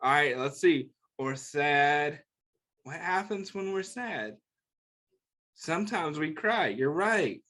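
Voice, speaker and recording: A man's voice: fairly high pitch, animated, slow pace, pushed and bright. A man hosting story time, reading a picture book aloud to children and adding simple, encouraging asides and questions. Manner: simple, encouraging, instructive phrasing aimed at young children.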